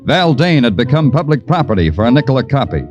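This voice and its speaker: An actor playing a wry, world-weary American police detective in an old radio crime drama, deadpan and sardonic. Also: male voice, mid-range voice, quick pace, muffled recording, music in the background, natural voice. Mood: grim narration. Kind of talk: 1940s-50s radio crime drama acting and narration.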